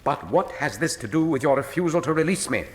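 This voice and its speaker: An actor playing a cool, witty, condescending Victorian detective in a radio drama, with a British accent and precise, dry delivery. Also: male voice, fairly high pitch, animated, fast talker, a little hiss or hum, lightly acted. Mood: grave, urgent. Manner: precise, dry, lofty, theatrical. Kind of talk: full-cast radio drama acting. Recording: reverberant hall sound.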